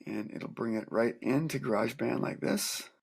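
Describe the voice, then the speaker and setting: Soft-voiced man with a gravelly husky tone. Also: medium pitch, normal speed. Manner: calm step-by-step explaining, counting aloud. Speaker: music teacher. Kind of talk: screen-recorded software tutorial.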